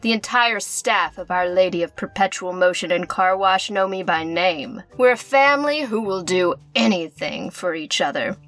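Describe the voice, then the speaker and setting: A woman's voice: lowish voice, expressive, moderate pace, few pauses, a bit bright, faint music behind. A woman playing a wealthy, flirtatious femme fatale artist with a sinister edge and a seductive manner in a noir radio play. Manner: seductive, teasing.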